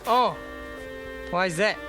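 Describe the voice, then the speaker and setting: A man's voice: sits high, extremely slow, over music. A young man playing an easygoing, placating, slightly nervous newlywed husband in conversational radio drama dialogue. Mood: polite, uneasy. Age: young adult.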